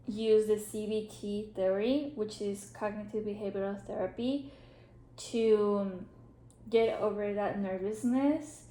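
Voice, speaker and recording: A woman whose first language is Spanish, playing a counselor in a role-played therapy session, asking gentle questions with many pauses and ums. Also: mid-range voice. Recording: roomy echo.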